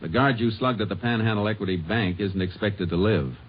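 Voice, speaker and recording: On a dull vintage radio recording, a stern Texas Ranger speaks in a low voice. He is steady, terse and matter-of-fact, in the manner of a classic crime drama. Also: fairly quick, slightly rough. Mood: businesslike. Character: steady, stern Texas Ranger investigator narrating his case. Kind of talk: 1950s radio crime drama narration and acting.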